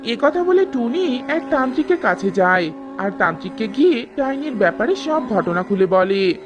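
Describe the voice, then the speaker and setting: A woman's voice: on the high side, very animated, moderate pace, highs cut off, over music. A woman narrating a scripted fairy tale for a cartoon as the storyteller.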